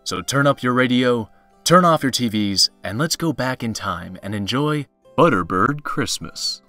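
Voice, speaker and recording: A man serves as announcer in a vintage radio show style. His voice is bright and forceful at a medium pitch, with showy presentation. Light music plays behind him. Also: animated, a little slow.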